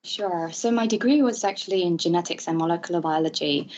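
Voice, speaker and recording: Soft-spoken woman from Malaysia, lo-fi. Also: lowish voice, brisk. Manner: hesitant, many fillers and restarts. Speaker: interview guest, ADHD advocate. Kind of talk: conversational interview. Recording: dull lo-fi audio.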